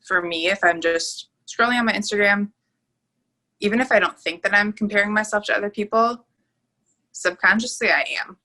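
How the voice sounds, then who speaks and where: A woman's voice: medium pitch, moderate pace, sharp tone, poor audio. A woman in her twenties co-hosting a podcast, talking candidly and easily with lots of fillers in casual chat. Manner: candid, easygoing, filler-heavy. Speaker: podcast co-host.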